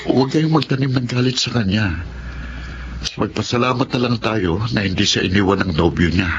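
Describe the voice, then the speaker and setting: A man in a Filipino radio drama playing a kind, hard-working eldest brother, speaking in an earnest, gentle, halting way. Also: lowish voice, natural voice. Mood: gentle, reassuring. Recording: muffled audio with loud background noise.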